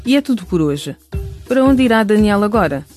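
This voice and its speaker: High-voiced male radio narrator. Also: big pitch swings, brisk, over music. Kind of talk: scripted narration.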